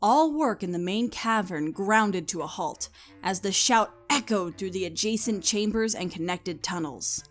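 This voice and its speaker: A woman's voice: medium pitch, animated, unhurried. A woman reading scripted story narration as the narrator. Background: music.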